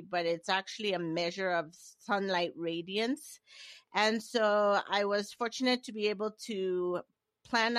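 A middle-aged woman from Nigeria speaks as an interview guest, recounting her path in a measured way and often pausing on little fillers. Her voice sits mid-range and is recorded close and dry, with no room sound around it. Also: mid-range voice, fairly bright tone, no echo. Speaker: guest, company founder. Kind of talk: interview answers.

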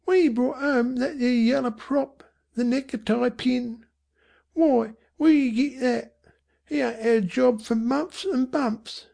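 A woman voicing a defiant, streetwise slum boy with speech and rough slang in an audiobook. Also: high voice, slow pace, dark tone, pitched above the performer's own voice, exaggerated voice. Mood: accusing. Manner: rough dropped-grammar slang. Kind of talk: audiobook character voice.

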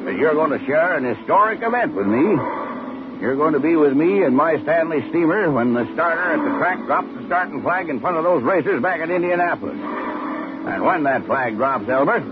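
Actor as a high-pitched bossy man, old radio. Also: expressive, moderate pace, close to an everyday voice. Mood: grandly determined. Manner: commanding, insistent. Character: bossy, stubborn, excited man with an old steam car. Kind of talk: 1950s radio acting. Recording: noisy, tinny 1950s radio recording.

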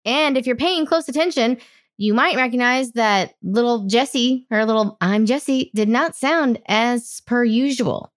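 A woman's voice: fairly high pitch, unhurried. Laughing woman hosting a chatty podcast. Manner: laughs often, acts out quotes. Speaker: podcast host. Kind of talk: casual chat, animated storytelling.

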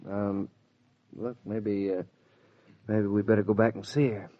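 An actor playing an earnest, gentle young American husband in a 1940s radio drama. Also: male voice, on the low side, flat delivery, measured pace, close to an everyday voice. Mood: tender, thoughtful. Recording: dull 1940s radio transcription.

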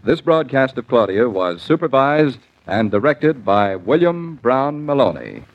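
A man announces in polished 1940s sponsor style. His medium-pitched voice moves with lively inflection. The old radio recording is dull. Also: measured pace.

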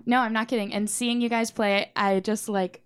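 A woman's voice: fairly high pitch, steady tone, normal speed, clear, resonant tone. A woman talking casually as a band's singer in an interview. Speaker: band singer, guest. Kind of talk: casual chat.

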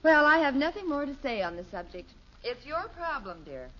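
A muffled vintage radio recording of a suburban mother. She is gently placating and wryly long-suffering, her lightly high voice moving expressively. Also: big pitch swings, moderate pace. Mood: placating, weary. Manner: gently placating, sighing, wry. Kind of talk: 1950s radio sitcom acting. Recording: dull 1950s radio broadcast recording.

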